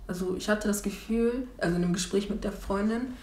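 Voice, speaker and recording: A young woman in her twenties recalls things in a reflective, hesitant way, with restarts and fillers. Her voice sits at an ordinary middle pitch. The recording has a noticeable roomy echo from a lapel mic. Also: a little fast, warm tone. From Germany. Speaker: documentary interviewee. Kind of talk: reflective documentary testimony. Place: small room indoors and street outdoors.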